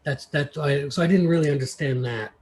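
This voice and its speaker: A man's voice: on the high side, darkish tone. A man from New York with an American accent, talking casually as an interview guest and searching for words. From United States, New York. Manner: halting, searching for words, thoughtful.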